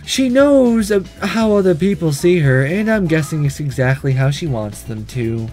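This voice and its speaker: A man's voice: on the high side, big pitch swings, moderate pace, a little mellow, faint music behind. Man reading as a sleepy, blunt schoolgirl, lilting delivery. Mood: matter-of-fact, musing. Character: sleepy, detached schoolgirl narrating about her friends. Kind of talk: visual novel read-aloud narration.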